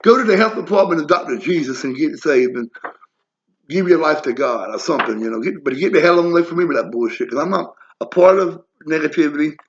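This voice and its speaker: Man in his forties, rambling monologue, blunt and profane, slightly high voice, muffled lo-fi sound. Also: lively intonation, brisk. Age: 40s. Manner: blunt, profane, wandering asides. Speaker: vlogger. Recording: lo-fi muffled audio.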